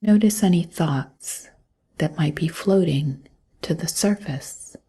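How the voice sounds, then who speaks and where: A woman guiding a mindfulness meditation in a soft, breathy voice, gently and calmly, with long pauses between instructions. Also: deep voice. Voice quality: soft, breathy, often near a whisper. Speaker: meditation guide. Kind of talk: guided mindfulness meditation, scripted narration.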